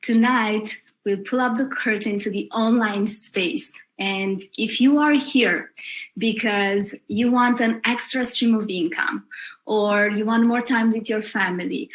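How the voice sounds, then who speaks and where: A woman hosting a live webinar, welcoming the audience in an upbeat, deliberate way. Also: mid-range voice, poor audio. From Romania. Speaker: webinar host.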